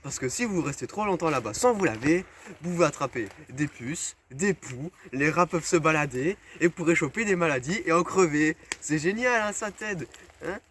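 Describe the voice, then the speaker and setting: Young man lecturing crudely, fairly high voice. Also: lively intonation, moderate pace, a bit bright. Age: teens or young adult. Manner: mock-pedagogical, crude, swearing. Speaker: explainer in a school history sketch. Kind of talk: mock-educational comedy. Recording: homemade video with faint noise.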